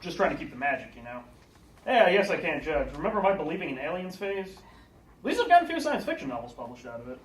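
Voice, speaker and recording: A man's voice: a little high, very animated, quick pace, distant mic, slight background noise. A man acting as an easygoing old school friend who teases the others. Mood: chatty. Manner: easygoing, teasing. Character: laid-back teasing old school buddy. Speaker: friend in a store line. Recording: echoey room, off-mic, faint ambience.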